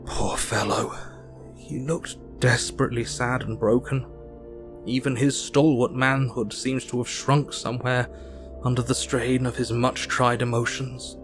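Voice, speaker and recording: Doctor, played by a man, audio drama diarist: medium-pitched, measured, literate, sombre. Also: flat delivery, soft background music, lightly acted. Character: sombre, reflective male Victorian doctor narrating his diary. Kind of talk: dramatised diary narration.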